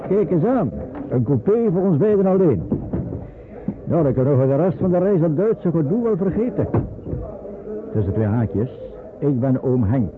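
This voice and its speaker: A man's voice: a little high, sing-song, moderate pace, long pauses, phone-quality sound. A man playing a reassuring, capable uncle in a radio drama, assured and avuncular, on a noisy old recording. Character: reassuring, capable uncle escorting a refugee by train. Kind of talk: Dutch radio drama acting.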